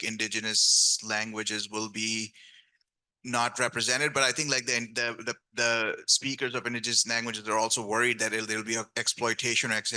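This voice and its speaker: A man talks on a conference panel in a bright, ringing voice. He hesitates often, restarts his sentences and fills the gaps with "like" and "um". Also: on the low side, low-quality recording. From grew up speaking Urdu. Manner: hesitant, many restarts and fillers. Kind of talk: panel discussion.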